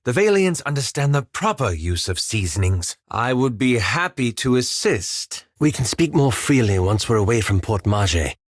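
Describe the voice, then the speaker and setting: A reserved, scholarly male adventurer from a fantasy game. His mid-pitched voice moves expressively through formal, careful phrasing. He is polite and a little apologetic, recorded very dry. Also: very animated, no room sound. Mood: guarded, polite. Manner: formal, measured, polite, hesitant diction. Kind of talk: video game character dialogue.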